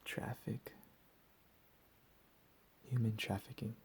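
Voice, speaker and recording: A man playing a deranged, menacing captor in a whispered ASMR roleplay, profane and slow with drawn-out emphasis. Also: on the low side, level tone, gravelly. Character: deranged menacing male captor, recently escaped asylum.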